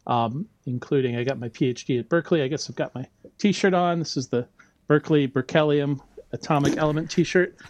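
A middle-aged American man, a biology lecturer, speaking as a podcast guest in a relaxed, explanatory way with frequent ums and some laughs. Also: fairly high pitch, brisk, slight background noise. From United States. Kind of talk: casual interview talk.

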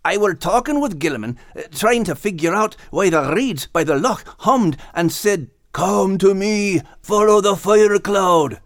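A plucky Scottish dog from an animal storybook, speaking in folksy dialect. His voice is pitched high and swings with animation, earnest throughout, in a close dry recording. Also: animated, a little slow, few pauses, a bit bright, no echo, heavily acted. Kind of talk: audiobook character acting.

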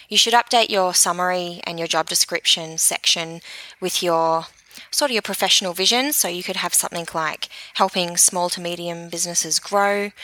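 A woman's voice: lowish voice, sharp tone, no room sound, poor audio. A woman in her thirties from New Zealand with an Australian accent, giving conversational expert advice as a guest. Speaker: guest social media expert.

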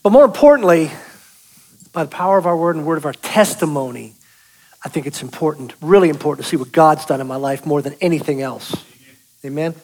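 A man's voice: a little high, expressive, brisk, a little mellow, rough recording. Man giving a folksy church testimony. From South Boston, US. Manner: folksy, joking, calls out to the audience. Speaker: guest speaker giving testimony. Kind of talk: testimony sermon.

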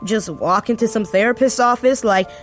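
Woman acting an anxious, grieving young woman, high-pitched. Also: soft background music, close to an everyday voice. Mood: despairing. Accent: American. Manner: halting, emotional, faltering delivery. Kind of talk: naturalistic audio drama acting.

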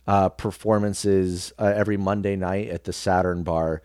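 A man's voice: low-pitched, fairly even intonation, moderate pace, a little mellow, no room sound, clean studio sound. A man chatting casually as an interview guest, often searching for his words and repeating them. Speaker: podcast guest, radio host.

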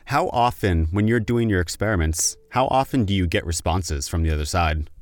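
Male interviewer, very low voice, dry close sound, hesitant rephrased questions. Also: expressive, moderate pace, no room sound.

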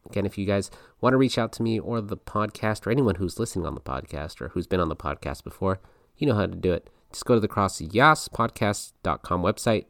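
A man's voice: fairly low pitch, dry recording, studio quality. A man hosting a podcast in a chatty, giggly way, with plenty of ums. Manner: chatty, giggly, lots of fillers. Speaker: podcast host. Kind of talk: casual podcast chat.

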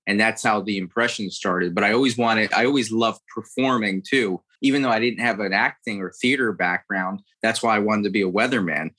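An American man in his thirties talks about his career as an impressionist. He has a low-pitched voice with a bright, forceful edge. He rambles earnestly and often restarts his sentences mid-thought. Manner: earnest, rambling, repeats words. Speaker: impressionist guest. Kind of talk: interview storytelling.

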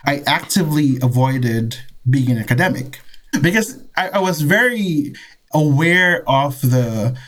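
A man's voice: on the high side, expressive, measured pace, a bit bright. A man in his thirties from the Philippines, a professor answering interview questions in a friendly, somewhat hesitant way. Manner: friendly, hesitant with restarts and laughs. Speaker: guest, professor.

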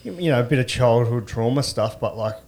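Laid-back male podcast host, middle pitch, short casual answers. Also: fairly quick, darkish tone. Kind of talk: casual chat.

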